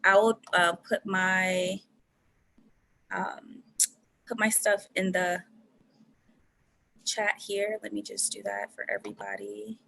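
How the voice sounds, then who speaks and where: Brief: young female presenter, crisp bright tone with vocal fry, relaxed and chatty. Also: on the low side, slow pace, pauses often, low-quality recording. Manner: easygoing and chatty. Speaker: guest workshop presenter. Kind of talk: casual closing remarks.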